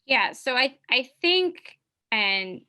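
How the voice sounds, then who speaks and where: A woman's voice: sits high, big pitch swings, slow pace, sharp tone. A young American woman, an immigration attorney, speaking earnestly and conversationally on a law school panel. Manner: earnest, conversational, with fillers and restarts. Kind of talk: panel discussion.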